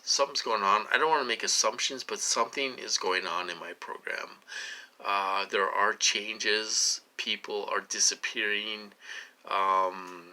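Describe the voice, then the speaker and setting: A man in his late forties giving a rambling personal monologue, halting and repeating himself as he dwells on his questions. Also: lowish voice, sharp tone, poor audio. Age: late 40s. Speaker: vlogger.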